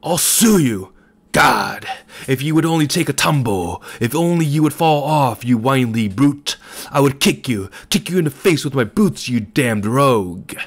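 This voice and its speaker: A man reading a storybook, voicing an enraged shabby man who bellows curses in a high, trembling voice. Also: animated, unhurried, husky. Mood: raving fury. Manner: bellowing and cursing.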